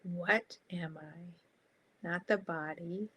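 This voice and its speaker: A woman guiding a meditation in a calm, soft, spacious manner, leaving long pauses between short phrases. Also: lowish voice, slightly rough, rough recording. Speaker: meditation guide.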